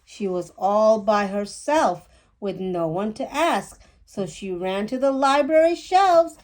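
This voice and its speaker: A woman reading a rhyming children's picture book aloud with deliberate, animated phrasing. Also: medium pitch.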